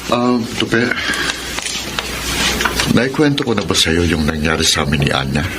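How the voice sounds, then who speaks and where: Low-voiced, lovelorn Filipino shop owner. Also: male voice, sing-song, unhurried, heavy background noise. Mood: friendly, wistful. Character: forgiving, lovelorn single man who owns a Korean mart. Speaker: radio drama actor and letter narrator. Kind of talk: Tagalog radio drama acting. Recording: music bed under the voice.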